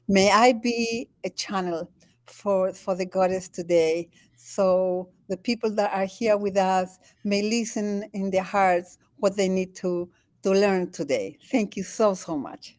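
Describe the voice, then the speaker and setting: An older woman from Chile speaks English with a Chilean Spanish accent, her native tongue being Spanish. She talks haltingly and laughs often, restarting her sentences. Her voice sits in a middle pitch and sounds close and dry. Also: darkish tone, no echo. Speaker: guest, spiritual teacher. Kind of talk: conversational interview. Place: home in the Andes.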